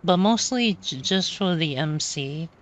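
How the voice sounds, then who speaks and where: A woman doing casual gameplay commentary, rambling and halting as she repeats words. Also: fairly low pitch, expressive. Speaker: video game streamer.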